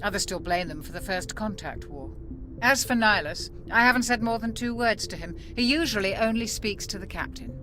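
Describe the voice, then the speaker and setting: A woman acting a cautious, sensible military doctor in a video game, speaking in a measured, reproving, matter-of-fact way. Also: mid-range voice, natural voice. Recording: loud music behind the voice. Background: music.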